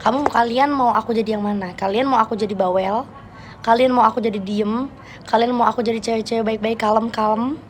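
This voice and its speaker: A young woman with a Jakarta Betawi slang accent chatting casually with viewers on a live stream, playful and quick to laugh. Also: a little high, fairly even intonation, slight background noise. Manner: chatty, playful, laughs often, switches registers.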